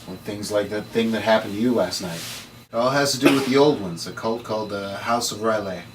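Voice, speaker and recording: A man's voice: fairly low pitch, brisk, natural voice. Man playing a cool occult guardian, distant mic. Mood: cool, explanatory. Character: cool, mysterious male supernatural guardian, matter-of-fact. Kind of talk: table-read drama. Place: room at a script table read. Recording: distant mic, faint background noise.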